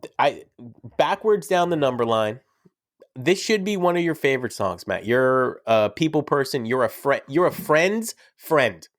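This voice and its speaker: A man's voice: mid-range voice, expressive, a little slow, no room sound, studio quality. A young man, sick, talking casually and enthusiastically as a podcast co-host, rambling and teasing his friend. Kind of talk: casual fan chat.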